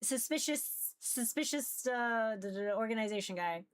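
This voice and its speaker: A woman's voice: on the high side, lively intonation, normal speed, smooth. A woman chatting casually to viewers as a livestreamer, informal and rambling, with a giggly manner. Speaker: visual novel streamer.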